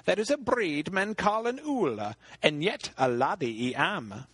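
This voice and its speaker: A man's voice: fairly high pitch, very animated, measured pace, a bit bright, husky, no echo, pitched above the performer's own voice, exaggerated voice. A man voicing a loathly old hag who demands a knight for a husband, declaiming archaic verse. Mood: demanding.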